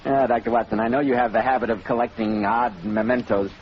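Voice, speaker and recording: A man's voice: medium pitch, fairly even intonation, fast, a little hiss or hum. A man hosting and announcing in polished, emphatic, cheery 1940s radio style. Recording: muffled old radio recording with music.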